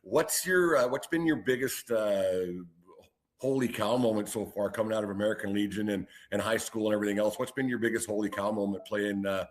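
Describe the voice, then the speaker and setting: A man co-hosting a sports interview, asking casual questions in a halting, informal way. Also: fairly low pitch, fairly even intonation. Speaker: sports show co-host.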